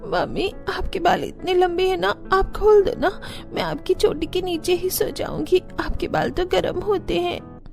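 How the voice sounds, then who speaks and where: Very high, sweet little girl voiced by a woman. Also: animated, a little slow, vocal fry, faint music behind, full character voice. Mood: soft, pleading. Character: sweet sleepy little village girl, cold and pleading. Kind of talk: cartoon story dialogue.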